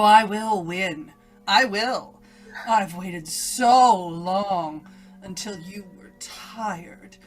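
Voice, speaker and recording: A woman playing an evil, domineering inner voice in an old-time radio melodrama, taunting and commanding with menace. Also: mid-range voice. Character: evil inner voice, a domineering female alter ego.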